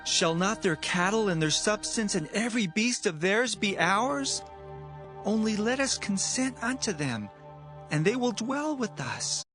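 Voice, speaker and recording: High male voice, eager young prince played by a man, earnest, persuasive, music underneath, audio Bible drama. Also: big pitch swings, a little slow, a bit bright, full character voice.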